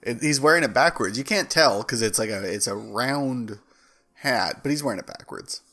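A man's voice: mid-range voice, moderate pace, sharp tone. A man co-hosting a comedy podcast in loose, joking banter. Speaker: podcast co-host. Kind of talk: casual comic banter.

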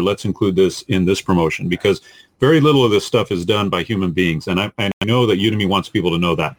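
A man who co-hosts a podcast and teaches online courses, reasoning in a measured, analytical way during a casual panel discussion. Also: on the low side.

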